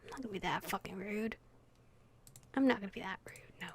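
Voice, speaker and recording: A woman hosting a visual novel let's play, reading aloud and reacting in an expressive, sweary, giggly way. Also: mid-range voice, fairly quick, breathy edge. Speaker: gaming video host. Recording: music playing behind the voice. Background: background pop music.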